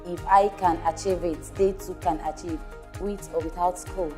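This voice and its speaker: A woman's voice: fairly low pitch, fairly even intonation, normal speed, dark tone, faint music behind. A woman in her twenties giving an eager, rambling self-introduction at a workshop. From Nigeria. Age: 20s. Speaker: workshop participant.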